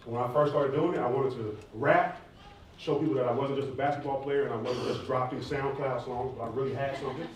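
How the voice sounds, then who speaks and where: Fast-talking American man in an echoey hall. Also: mid-range voice, steady tone. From southeastern United States, near Atlanta. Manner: candid, informal, slangy. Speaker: hip hop artist and former college athlete answering questions. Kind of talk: casual audience question-and-answer talk. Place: large echoey indoor room at a live event. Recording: echoey hall, distant mic, faint noise.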